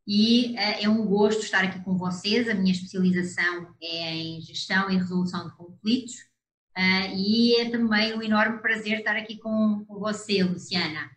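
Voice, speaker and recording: A woman from Portugal with a European Portuguese accent, hosting a conversation show in a measured, assertive way with frequent hesitations. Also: medium pitch, a bit bright, echoey room, slight background noise, poor audio. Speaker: host.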